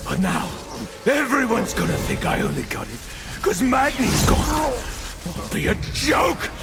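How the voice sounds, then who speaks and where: High, volatile bully of a god. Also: male voice, sing-song, a little slow, gravelly, raised voice, over loud music, exaggerated voice. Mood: enraged, bitter. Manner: taunting, ranting. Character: spiteful, volatile younger brother god, jeering bully. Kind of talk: video game villain acting.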